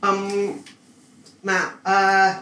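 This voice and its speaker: A man explaining things in a casual, somewhat halting way as a podcast panelist. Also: high voice, monotone, sharp tone. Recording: echoey room, distant mic, faint noise.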